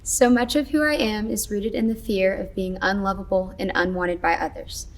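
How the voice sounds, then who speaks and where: A woman's voice: mid-range voice, fairly even intonation, moderate pace, distant mic. A woman student delivering a scripted personal monologue some way from the microphone. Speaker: student speaker.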